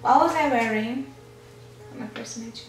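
A woman's voice: fairly high pitch, measured pace, heavy reverb, distant mic, slight background noise. A young woman from Angola whose first language is Portuguese, casually quizzing her partner in a playful, teasing way. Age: young adult. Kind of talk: casual quiz chat. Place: small indoor room with gray walls, seated.

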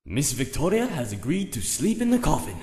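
A man's voice: on the high side, wildly swooping pitch, fairly quick, crowd noise. A man voicing a courteous, composed butler in an anime parody dub, polite, formal and droll. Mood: reporting. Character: courteous, composed male butler serving a household.

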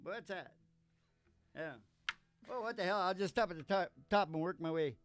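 A man's voice: sits high, moderate pace. An older man hosting with folksy, playful, joking banter at a stand microphone. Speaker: podcast host and country singer. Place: small curtained studio. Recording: live acoustic guitar behind the voice.

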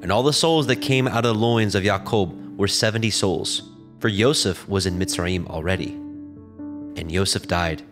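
A man narrating scripture from a script in a solemn, measured way. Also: fairly low pitch. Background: music.

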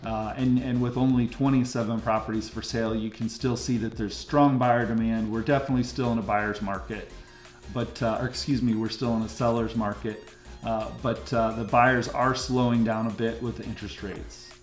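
A man's voice: mid-range voice, little pitch movement, normal speed, echoey room, soft background music. A middle-aged American man giving a casual, chatty guided commentary in the manner of a local real estate host. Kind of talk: casual map-tour commentary. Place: indoor room, screen recording with webcam.

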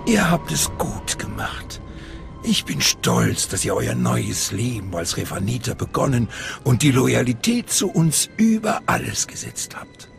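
Gravelly male cult master for a fantasy game, solemn and theatrical, swooping intonation, over music. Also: mid-range voice, full character voice. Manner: ceremonial, theatrical. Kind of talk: dramatic video game dialogue. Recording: music behind the voice.

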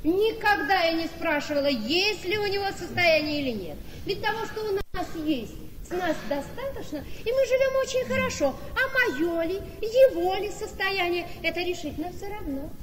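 Radio play role: lovestruck, trusting young wife, animated and exclamatory, echoey hall sound. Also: female voice, very high voice, moderate pace. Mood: blissfully confident. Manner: effusive, exclamatory. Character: gushing, naive, trusting young married woman in love. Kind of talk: theatrical radio play acting. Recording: echoey reverberant hall, crowd sounds behind.

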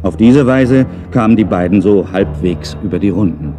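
A man's voice: sits low, expressive, moderate pace, over music. A middle-aged man narrating a children's story in a playful way, with wry, chatty asides.

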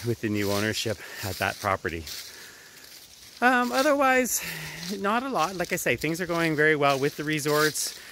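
Bright-voiced man on a walk. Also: mid-range voice. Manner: conversational, talking while walking. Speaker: travel agent giving video updates. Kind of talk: casual walk-and-talk vlog update. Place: outdoors, walking through autumn woods. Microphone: phone at arm's length, earbuds worn. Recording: outdoor phone recording with background noise.

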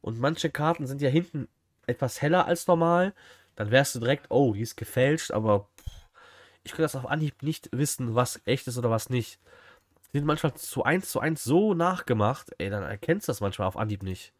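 Male streamer, 30s, relaxed reaction chat, reads comments aloud, average pitch, dry recording. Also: moderate pace, no echo. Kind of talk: casual livestream reaction, reading chat. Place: indoor streaming room.

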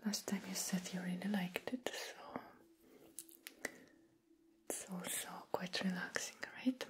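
A young woman performs soft ASMR role play in a breathy half-whisper. Her delivery is very slow and gentle, with soothing pauses between short phrases. She sits close to the microphone, attending to a client. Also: lowish voice, little pitch movement. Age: young adult. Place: small indoor room.

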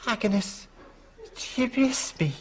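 Slow, theatrical séance voice. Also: female voice, medium pitch, expressive. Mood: mournful, slurred. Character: spirit medium channelling a woman's dead, drunken husband. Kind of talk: theatrical séance acting in a sitcom. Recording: clearly noisy live studio sound.

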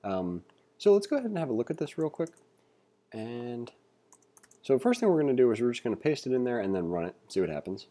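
A man giving a read-along tutorial as an instructor, reading aloud and adding informal asides as he thinks out loud. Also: medium pitch, big pitch swings, quick pace. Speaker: programming instructor. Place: indoors, screen-recording voice-over.

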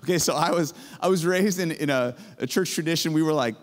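A man in his forties, a professor giving an energetic chapel sermon on stage with a handheld mic, excitable and booming, full of storytelling. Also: brisk. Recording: hall reverb.

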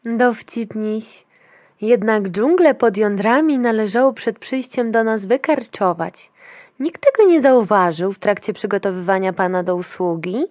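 An actress playing a brusque, officious clinic attendant in a dystopian future audio drama, clinical and commanding. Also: female voice, medium pitch, measured pace, darkish tone, dry recording, clean studio sound, natural voice. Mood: mocking, scolding.

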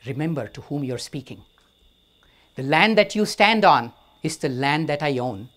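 A man voicing a proud, demanding ancient king who bargains and then commands, in a husky voice. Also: mid-range voice, expressive, moderate pace. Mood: angry.

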